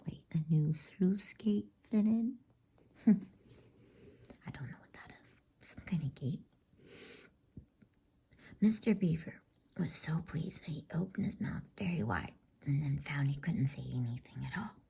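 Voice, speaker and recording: A woman reading a bedtime story as ASMR in a soft, unhurried, breathy, intimate whisper. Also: low voice.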